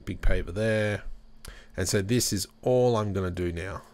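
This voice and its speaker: Deep-voiced man talking through a tutorial. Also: normal speed. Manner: relaxed, thinking aloud while working. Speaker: tutorial host. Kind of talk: screen-recorded software tutorial.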